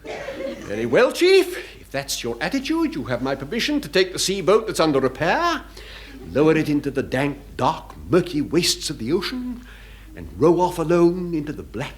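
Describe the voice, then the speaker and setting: A man's voice: fairly high pitch, wildly swooping pitch, normal speed. A man playing a suave but silly naval officer in a radio sitcom, polite, wordy and mock-dramatic. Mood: mock-ominous. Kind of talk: 1960s radio sitcom acting.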